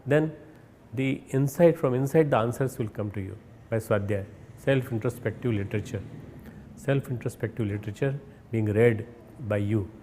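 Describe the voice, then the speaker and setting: A man with an Indian accent giving a recorded spiritual message, earnest and instructive, often pausing and restarting words. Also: mid-range voice, warm tone, slight background noise. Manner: hesitant, repeats and restarts words. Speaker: spiritual leader.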